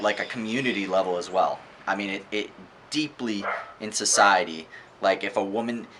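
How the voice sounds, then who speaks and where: Forceful low-voiced man reviewing a book. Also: slight background noise. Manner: earnest, emphatic, halting with repeated rhetorical questions. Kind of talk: casual opinionated book review monologue.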